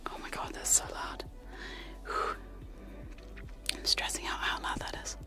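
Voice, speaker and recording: A woman's voice: a little slow, over music. A young woman whispering close to sensitive microphones in a casual, giggly ASMR chat with trigger sounds, often poking fun at herself. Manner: soft whisper, giggly and self-deprecating. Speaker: ASMR streamer.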